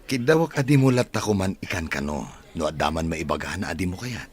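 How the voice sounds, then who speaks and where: A man's voice: sits low, animated, fairly quick. A man playing a headstrong, lovestruck son of a wealthy family in a radio drama. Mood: tender.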